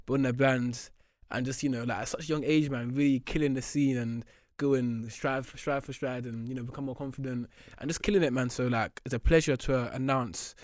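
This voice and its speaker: A man's voice: medium pitch, fairly even intonation, fairly quick, a little mellow, dry recording, studio quality. A young man hosting a podcast, hyping his guest and asking casual questions, dropping "man" at the ends of lines. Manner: hyped, jokey, questions peppered with "man". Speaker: podcast host. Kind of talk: casual interview. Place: podcast studio.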